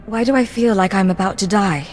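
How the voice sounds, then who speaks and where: A woman's voice: medium pitch, fairly quick, a little mellow, faint music behind, natural voice. Woman doing a cool defiant spy agent for a game, mid voice, dry delivery, long reverb. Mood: guarded. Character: cool, defiant young female secret agent.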